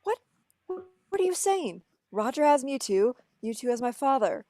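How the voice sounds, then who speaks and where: A woman's voice: high voice, big pitch swings, unhurried, warm tone, dry recording, clean studio sound. A woman playing an earnest, desperate young man in a table read of a film script, pleading with emotion. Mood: desperate, insistent.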